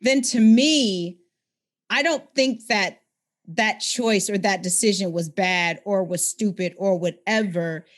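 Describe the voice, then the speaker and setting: A woman's voice: mid-range voice, takes it slowly. A woman in her forties hosting a podcast, talking with enthusiastic, affirming energy. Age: 40s. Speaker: podcast host. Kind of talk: casual conversation.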